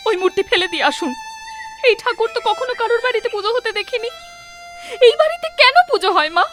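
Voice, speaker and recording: A woman's voice: very high-pitched, expressive, fast, music in the background. An actress voicing a young new mother who asks her mother-in-law anxious, pleading questions. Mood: worried. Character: frightened young mother, new bride of the house.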